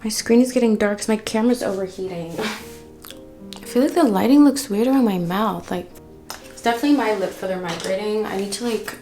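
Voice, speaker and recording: Young Dutch beauty vlogger, American-sounding English, mid pitch with vocal fry, casual makeup chatter. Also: female voice, brisk, mellow, faint music behind. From Netherlands. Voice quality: slangy, says "like" often. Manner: chatty, talks while doing makeup. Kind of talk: casual get-ready-with-me chat.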